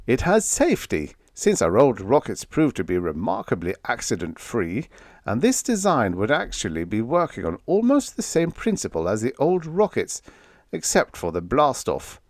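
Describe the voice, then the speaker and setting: A man's voice: medium pitch, huge pitch swings, normal speed, no echo, studio quality. A man voicing a confident, persuasive rocket company boss in an audiobook, explaining and rallying like a speechmaker. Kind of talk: audiobook character dialogue.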